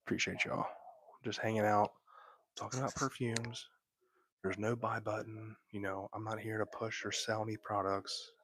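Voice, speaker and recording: A young man whispering softly into a close microphone while hosting a laid-back late-night livestream from bed at home. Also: on the low side, flat delivery, normal speed, slight background noise. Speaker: livestream host.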